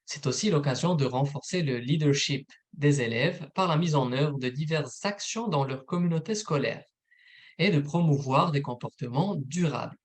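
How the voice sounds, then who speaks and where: A man, a program manager, presenting a recorded informational webinar in a steady, methodical read. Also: fairly high pitch, moderate pace.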